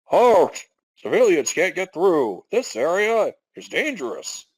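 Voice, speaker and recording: A man's voice: high-pitched, very animated, takes it slowly, husky, voice pushed up, heavily acted, rough recording. A man voicing an army guard who is officious and easily flustered around women. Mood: stern.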